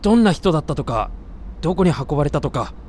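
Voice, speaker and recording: A woman's voice: low voice, very animated, fast talker, dark tone, slight background noise. A woman playing a blunt, grumpy but kind-hearted young man in an amateur voice drama. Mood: polite, inquiring. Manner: rough, blunt masculine speech, exasperated retorts. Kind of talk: Japanese amateur voice drama acting.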